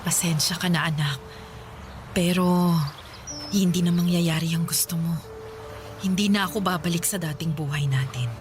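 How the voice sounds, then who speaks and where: An actress playing a middle-aged mother who apologizes softly but refuses to come back to her family. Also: on the low side, normal speed, pauses often, natural voice. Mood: apologetic. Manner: soft, apologetic, firm. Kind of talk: radio drama acting. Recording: music underneath.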